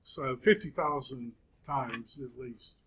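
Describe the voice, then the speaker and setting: A man in his fifties teaching a Bible class, speaking slowly with frequent pauses and fillers. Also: medium pitch, fairly bright tone, slightly rough. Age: 50s. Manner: slow, halting, many fillers and pauses. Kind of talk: classroom lecture.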